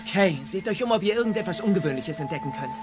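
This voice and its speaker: A man playing an earnest, quick-thinking teenage Viking boy who leads, hesitant yet decisive, in a cartoon audio drama. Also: high-pitched, lively intonation, music in the background. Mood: concerned.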